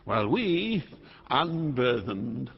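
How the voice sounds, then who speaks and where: A man's voice: mid-range voice, very animated, gravelly, breathy edge, heavily acted. An actor playing an aging, proud and volatile king and father in a classical Shakespearean stage drama, speaking in a grand, commanding manner. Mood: grand, ceremonial. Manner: grand, theatrical, deliberate, commanding. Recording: muffled, reverberant hall sound.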